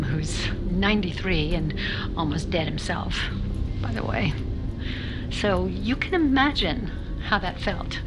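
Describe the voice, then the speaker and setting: Slow, bitter, wounded woman. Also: on the low side. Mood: bitter, menacing. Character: bitter, wounded woman, sardonic and quietly menacing. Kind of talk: naturalistic audio drama acting.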